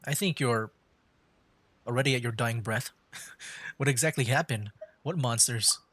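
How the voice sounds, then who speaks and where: A man with a medium-pitched voice commentates on a game live. His delivery is casual and hesitant, as if thinking out loud while he streams. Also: normal speed, no room sound.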